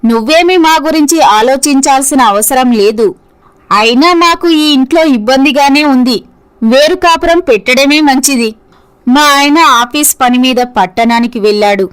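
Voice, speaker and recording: A woman's voice: sits high, measured pace. A woman playing a wealthy, indulgent mother in a scripted story, haughty and dismissive in manner. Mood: offended, haughty.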